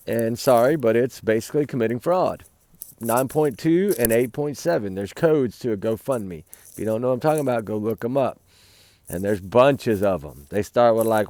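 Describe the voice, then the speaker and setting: A man talks to his viewers in a rambling, earnest way from a campfire at night. His voice is hoarse and gravelly, with a mellow, dark tone. The sound is dry and close, with no echo. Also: mid-range voice. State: losing his voice. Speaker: livestream host. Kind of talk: casual night talk to viewers.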